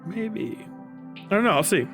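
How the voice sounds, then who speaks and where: Higher-pitched man chatting on a livestream. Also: lively intonation, over music. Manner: profane, halting, slangy talk. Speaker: livestream host. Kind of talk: casual livestream chat and reaction.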